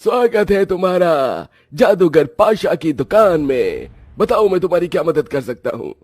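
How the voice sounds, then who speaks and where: A man's voice: high-pitched, big pitch swings, normal speed, a little mellow, no room sound, faint music behind, pitched above the performer's own voice, full character voice. Man playing a theatrical, raised-voice magician. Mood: grand, warning. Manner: theatrical, portentous. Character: mysterious adult male magician shopkeeper, bargaining.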